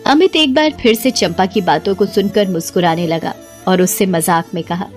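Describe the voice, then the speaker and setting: A woman's voice: mid-range voice, normal speed, faint music behind. A woman narrating a scripted Hindi story in a dramatic storytelling style.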